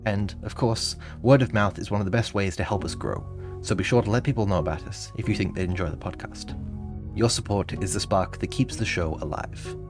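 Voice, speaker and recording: A man with a very low voice reads a scripted podcast outro. His delivery is measured and deliberate, with music playing clearly underneath. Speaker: podcast creator reading credits.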